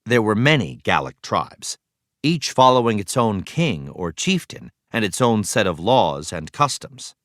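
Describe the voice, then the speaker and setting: Deep-voiced man reading a lesson aloud. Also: very animated, measured pace, fairly bright tone, no room sound, clean studio sound. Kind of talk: read-aloud educational narration.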